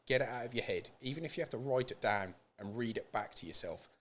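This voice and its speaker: A man's voice: mid-range voice, animated, fast talker. A British man talking casually and plainly as a podcast guest. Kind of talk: casual chat.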